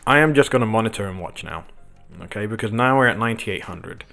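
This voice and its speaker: A man hosting a casual livestream from his home office, croaky from just waking up, rambling with lots of ums as he talks to viewers and the chart. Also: lowish voice, animated, fast, bright, forceful tone, soft background music. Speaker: crypto livestream host. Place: home office with window open.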